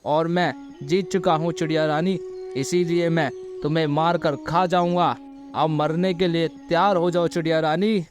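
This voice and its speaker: A man voicing a treacherous, hungry male vulture in a children's cartoon story, gloating with drawn-out laughs and hums. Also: on the high side, fairly even intonation, natural voice. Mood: menacing, hungry. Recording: loud music bed.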